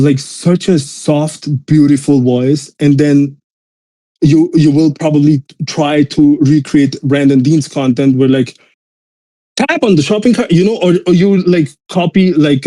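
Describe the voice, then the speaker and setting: A man's voice: fairly high pitch, unhurried, dark tone, rough recording. A man from Austria, a native German speaker with a mixed European accent in English, talks casually and motivationally in a rambling, emphatic way, peppered with bro slang.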